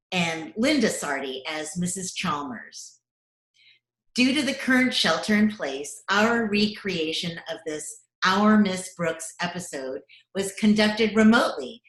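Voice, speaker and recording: A woman announcer reading scripted radio show credits in a measured, formal way. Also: medium pitch, fairly bright tone. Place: at home. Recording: roomy, echoey remote home recording.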